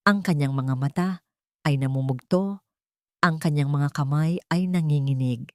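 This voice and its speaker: A woman's voice: deep voice, moderate pace, no room sound, studio quality. A woman with a Filipino accent narrating a scripted romance drama in a dramatic storytelling style.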